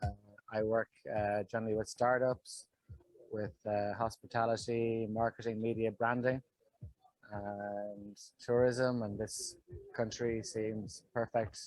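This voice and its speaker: Irish guy, flat delivery, dry recording, casual testimonial, pauses between short bits. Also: on the low side, dry recording, poor audio. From Ireland. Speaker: digital nomad giving a testimonial.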